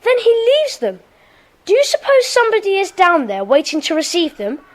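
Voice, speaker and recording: A woman's voice: very high voice, wildly swooping pitch, moderate pace, full character voice, low-quality recording. A woman playing an inquisitive schoolgirl detective in a children's audio drama, earnest and questioning.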